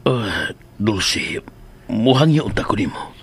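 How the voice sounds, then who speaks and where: An actor playing a kindly, composed father in a radio drama, speaking in a gentle, measured way. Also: fairly low pitch, very animated, husky, heavily acted. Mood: gentle, reassuring. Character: kindly, composed father returning to his family.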